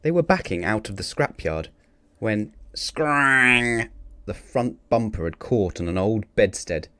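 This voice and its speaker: A man's voice: fairly low pitch, lively intonation, a little slow, bright, forceful tone, no echo. A man narrating a children's picture book expressively, theatrical on the sound-effect words. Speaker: storybook reader.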